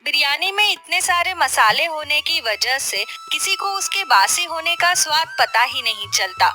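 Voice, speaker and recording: A woman's voice: sits high, expressive, measured pace. A woman reading scripted storybook narration for a cartoon tale. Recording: music bed behind the voice.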